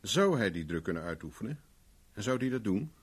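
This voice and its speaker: Brisk, commanding private investigator. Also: male voice, on the low side, sing-song, slightly rough. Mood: businesslike. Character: brusque, persistent male private investigator, cool under pressure. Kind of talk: 1970s Dutch radio drama acting.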